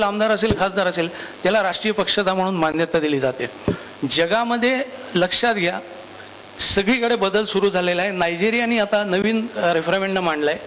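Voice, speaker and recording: High-voiced man on a noisy panel. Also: a bit bright. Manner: explanatory, lists examples one by one. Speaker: political panelist. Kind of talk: panel speech, arguing a case. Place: live public panel event. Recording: live panel with clear background noise.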